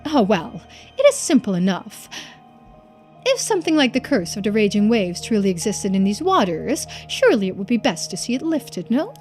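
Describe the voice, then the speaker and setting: A woman's voice: mid-range voice, very animated, normal speed, a little mellow, faint music behind, close to an everyday voice. A woman voicing a haughty, easily offended businessman with pompous, formal manners in a video game. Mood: smoothly polite. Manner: pompous, formal, indignant phrasing. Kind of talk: video game character voice-over.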